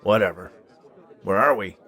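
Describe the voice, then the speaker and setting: A man's voice: lowish voice, moderate pace, sharp tone, slightly rough. A man voicing a grumpy, jaded man with a dry, impatient manner in a story. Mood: bored, impatient. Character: Harrison, grumpy, jaded, sarcastic grown man.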